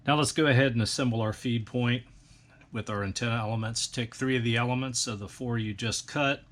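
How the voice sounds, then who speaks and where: A man calmly explaining a hands-on build step by step, in a practical, methodical way. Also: mid-range voice, fairly quick. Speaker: instructor. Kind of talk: step-by-step how-to instruction.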